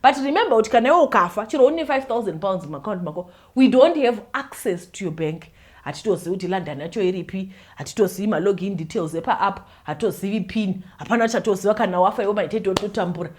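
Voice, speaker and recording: Fast-talking Zimbabwean woman, animated. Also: mid-range voice. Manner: animated, mixes Shona and English, laughs often. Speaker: YouTube host. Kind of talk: casual chat to viewers.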